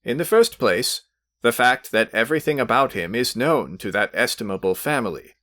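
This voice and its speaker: A man reading aloud voices an annoyed, frowning gentleman who speaks low and measured. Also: expressive, lightly acted. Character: weary, annoyed grown man, dry.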